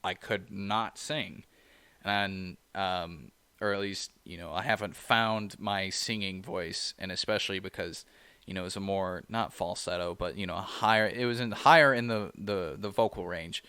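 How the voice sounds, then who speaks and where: Low-voiced man in rambling casual chat. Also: normal speed, bright, forceful tone, smooth, dry recording. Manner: rambling, lots of "you know" fillers. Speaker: podcast co-host.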